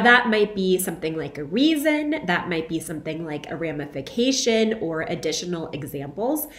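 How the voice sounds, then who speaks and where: A young woman instructor, hoarse from being sick, giving recorded feedback to her students in a conversational way with frequent fillers, speaking into a webcam in a small room at home. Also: fairly low pitch, echoey room. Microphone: webcam, video call framing.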